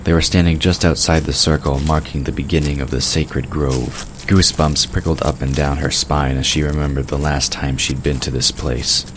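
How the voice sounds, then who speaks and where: A man's voice: extremely low pitch, little pitch movement, moderate pace, few pauses. A man reading scripted third-person narration for a fantasy audio drama. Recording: clear background noise of night crickets.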